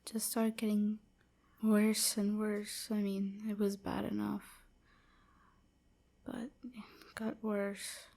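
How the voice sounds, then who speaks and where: A woman playing a timid, submissive vampire slave in an intimate audio roleplay, hesitant and stammering, with a soft, whispery, apologetic delivery. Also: mid-range voice, monotone, slow pace, long pauses. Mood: sad, subdued. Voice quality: soft, breathy, often whispery. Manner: hesitant, stammering, hushed and breathy.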